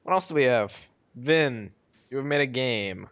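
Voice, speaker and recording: A man speaks casually as a podcast host, guiding the chat along. His pitch is average. The recording has no room sound and is a little muffled and lo-fi. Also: lively intonation, measured pace, fairly bright tone, no echo. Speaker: podcast host steering topics.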